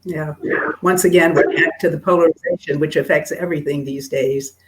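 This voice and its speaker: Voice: medium pitch, steady tone, a little fast, background noise. Courteous seminar moderator on a call. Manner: courteous and formal.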